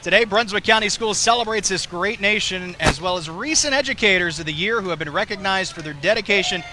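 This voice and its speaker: A man's voice: high-pitched, a little fast, noisy background. A man with a Southern American accent giving jokey, chatty live commentary on a street parade outdoors. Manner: jokey, chatty, laughs often.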